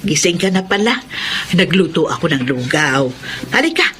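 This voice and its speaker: A woman's voice: on the low side, very animated, moderate pace. An actress playing a kindly old village woman in a Filipino radio drama, fussing and motherly in her manner. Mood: caring. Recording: radio drama with music underneath.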